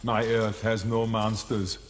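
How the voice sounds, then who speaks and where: Stoic killer cyborg, video game, low voice, mechanical and terse, heavy noise behind. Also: male voice, slow. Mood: cold, flat. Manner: flat, terse, mechanical delivery. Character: stoic, menacing humanoid killer robot, adult male. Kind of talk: video game character dialogue. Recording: long reverb, loud effects noise, clicks.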